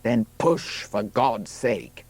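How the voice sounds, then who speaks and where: A man voicing a practical, gruffly tender countryman in an audiobook story, firm and urging. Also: mid-range voice, animated, takes it slowly, a little mellow, no room sound, heavily acted. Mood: urging, reassuring. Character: steady, gruffly tender countryman helping at a birth.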